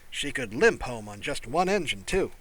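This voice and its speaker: A man's voice: mid-range voice, normal speed, low-quality recording. A man voicing an exuberant, breezy female astronaut who is proud of her ship, in an audiobook. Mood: proud, amused. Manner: excitable, breezy. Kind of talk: audiobook character voice.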